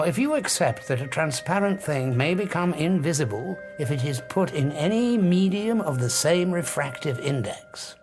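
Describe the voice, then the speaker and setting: Obsessive grandiose scientist, audiobook drama. Emphatic, deliberate lecturing with dramatic pauses, slightly high voice. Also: male voice. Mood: insistent. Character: obsessive, grandiose male scientist, arrogant and intense.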